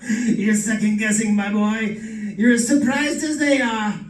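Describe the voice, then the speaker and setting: In a roomy, distant stage recording, a man plays a suave, gloating male villain from an old-time radio play. His voice is very high and he takes his time, condescending and theatrical with every phrase. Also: fairly even intonation, slow. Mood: gloating amusement. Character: gloating male villain, urbane murderer toying with detective. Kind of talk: live old-time radio drama acting.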